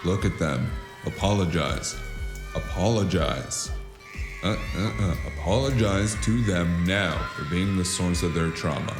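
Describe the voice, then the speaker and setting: Weary hardboiled fixer male actor, deep and slow, dry sarcasm, cyberpunk audio drama over music. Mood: mock scolding.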